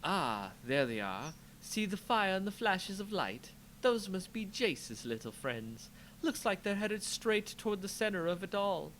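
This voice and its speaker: A coolly cynical necromancer woman, put on by a man for a fantasy story. The voice is lifted high and swings widely, languid and theatrical, with an amused haughtiness. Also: big pitch swings, measured pace, hardly any pauses, fairly bright tone, slight background noise, exaggerated voice, poor audio. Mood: haughty amusement.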